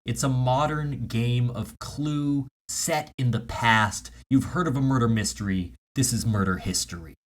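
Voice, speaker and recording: A man's voice: mid-range voice, unhurried, hardly any pauses. A man co-hosting a comedy podcast, chatting in casual banter. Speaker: podcast co-host.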